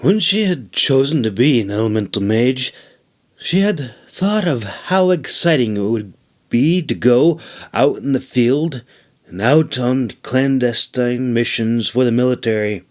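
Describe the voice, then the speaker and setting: A man reading his own fantasy novel as a scripted audiobook narration, with deliberate, measured phrasing. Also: medium pitch, animated.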